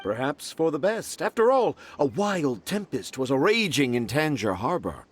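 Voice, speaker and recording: An actor playing a theatrical, self-important children's author in a video game, speaking in flowery, poetic phrases. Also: male voice, fairly high pitch, very animated, normal speed, heavy background noise. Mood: dreamy, evasive. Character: flamboyant, dreamy, self-important male children's storyteller and father. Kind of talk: video game character acting. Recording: music behind the voice.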